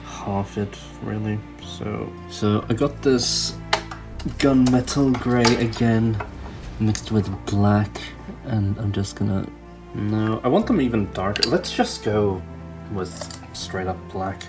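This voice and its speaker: A man's voice: on the low side, takes it slowly. A man giving casual hands-on hobby commentary, thinking aloud in a hesitant way as he works. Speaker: toy customizer hosting a hobby video. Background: background music.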